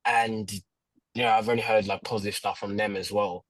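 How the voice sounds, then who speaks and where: A young man with a low voice and a dry, close sound. He answers as a prospective client in a halting, understated manner, with little rise and fall in his tone. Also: flat delivery, no room sound, poor audio. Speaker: prospective client on a sales call.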